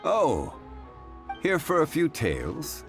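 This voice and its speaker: A man voicing a refined, scholarly gentleman in a video game, with a measured, formal and composed manner. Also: on the high side, huge pitch swings, creaky, exaggerated voice. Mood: calm. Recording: music behind the voice.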